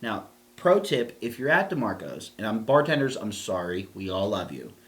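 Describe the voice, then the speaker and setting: A man's voice: lowish voice, normal speed. Rambling man co-hosting a chat show. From southwestern Pennsylvania. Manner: rambling, restarts sentences, animated. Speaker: podcast co-host. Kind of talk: casual chat.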